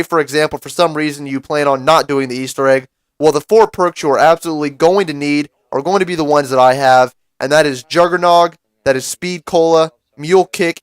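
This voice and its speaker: A young man with a raspy voice from a cold, giving casual strategy commentary over gameplay to his viewers. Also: a little high, dry recording. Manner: casual, explanatory, addresses viewers as guys. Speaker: gaming YouTuber.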